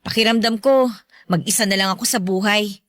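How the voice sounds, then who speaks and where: A woman's voice: medium pitch, a little fast, a bit bright, no room sound, studio quality, natural voice. A woman playing an earnest, forgiving young stepdaughter in a Filipino radio drama, with a Filipino accent. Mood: sympathetic.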